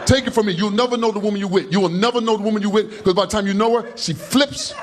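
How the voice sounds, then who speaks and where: A man in his fifties doing an animated stand-up routine on a comedy club stage into a handheld mic, gesturing broadly as he talks. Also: high-pitched, fast talker, slight background noise. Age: 50s. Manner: loud, animated, slangy storytelling with heavy gestures. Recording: live club recording with audience laughter. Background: audience laughing.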